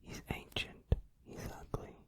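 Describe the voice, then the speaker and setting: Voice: unhurried, fairly bright tone, natural voice. A performer whispering the part of a cruel, gambling male boogeyman who gloats in singsong. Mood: mocking. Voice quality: whispered, unvoiced. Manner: mocking, singsong. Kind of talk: whispered song cover, ASMR.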